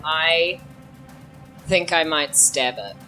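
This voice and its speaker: A woman plays a tabletop game live, talking very slowly and casually in a lowish, expressive voice. Loud music runs behind her. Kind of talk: casual improvised game play. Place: live on stage in a club.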